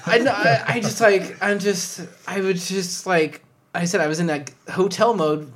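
A man with a high-pitched voice ranting about a customer service mix-up, swearing often in casual chat. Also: fairly even intonation, moderate pace, a bit bright, slight background noise. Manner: ranting, swears a lot, frustrated storytelling. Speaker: podcast co-host.

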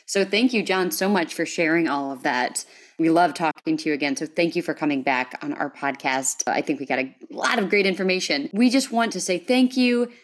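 Enthusiastic American woman, slightly low voice. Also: a little fast. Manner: enthusiastic, appreciative. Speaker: podcast host. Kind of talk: casual podcast chat.

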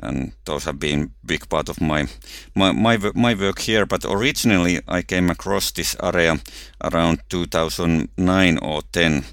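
A man with a Finnish accent explains ideas with care, often catching and restarting his words. His very deep voice has a bright, smooth clarity. The sound is dry, with no room around it. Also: moderate pace, few pauses, no room sound. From Finland. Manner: careful, explanatory, with frequent small restarts. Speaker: researcher and guest. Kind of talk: thoughtful podcast conversation.

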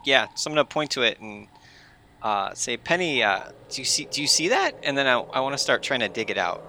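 Bright, creaky-voiced man playing along. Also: mid-range voice, sing-song, fairly quick, a little hiss or hum. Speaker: tabletop game player. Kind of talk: casual improvised role-play, in and out of character.

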